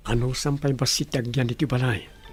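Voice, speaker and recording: A man's voice: medium pitch, flat delivery, fairly quick, close to an everyday voice. A man playing a devoted, earnest young husband in an Ilocano radio drama. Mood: reassuring, affectionate. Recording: music clearly under the voice.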